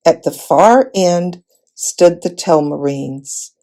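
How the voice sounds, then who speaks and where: A woman reading a children's fantasy novel aloud as an expressive storybook narrator. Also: on the low side, takes it slowly. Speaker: volunteer storybook reader.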